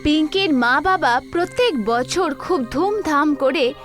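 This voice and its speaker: A woman with a very high voice narrates a scripted horror story. Soft music plays underneath. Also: expressive, a little slow.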